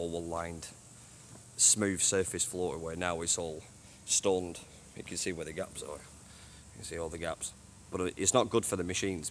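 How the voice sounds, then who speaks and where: A man who cleans a train station, giving a casual walking tour on his phone, chatty and rambling, with a deep voice. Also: a little hiss or hum. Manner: chatty, rambling, says "you know what I mean". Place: old train station toilets and platforms at night. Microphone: handheld phone.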